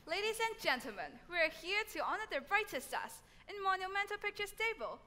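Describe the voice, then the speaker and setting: A woman's voice: extremely high pitch, very animated, brisk, sharp tone, in a large hall, exaggerated voice. A young woman on a school stage playing a pompous male film studio boss. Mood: announcing. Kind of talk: school stage musical acting.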